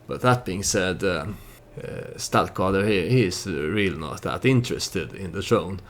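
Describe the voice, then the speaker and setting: A man giving casual storytelling commentary over a strategy game, hesitant and halting as he thinks aloud. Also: deep voice, animated, a little hiss or hum. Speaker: let's play YouTuber narrating his strategy game.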